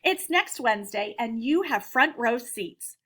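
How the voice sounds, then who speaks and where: An eager young American granddaughter from a children's storybook, read aloud by a woman. Her voice is high and emphatic, with a cheerful, excited temper. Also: measured pace, breathy edge, heavily acted.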